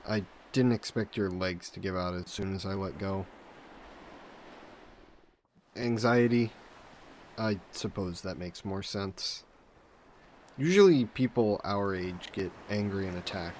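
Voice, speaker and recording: A man playing a possessive sea monster in a roleplay audio drama, speaking in measured, formal phrases that are coolly threatening and flirty. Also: low-pitched, a little mellow, a little hiss or hum. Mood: amused intrigue. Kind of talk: scripted roleplay audio drama, intimate monologue.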